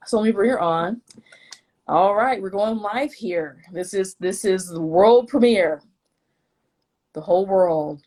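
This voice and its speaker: A woman's voice: mid-range voice, unhurried, long pauses, darkish tone. A woman from the Midwest hosting a live stream in a chatty, self-deprecating way, often tripping over her words. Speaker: host, vice president of the collective.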